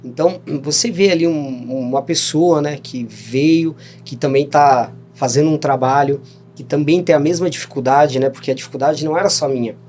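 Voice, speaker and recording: A man's voice: a little high, moderate pace, a little mellow, slight background noise. Brazilian man in his thirties, talkative. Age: 30s. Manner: rambling, frequent "né?" tags, laughs while telling stories. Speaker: podcast guest. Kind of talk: casual storytelling interview.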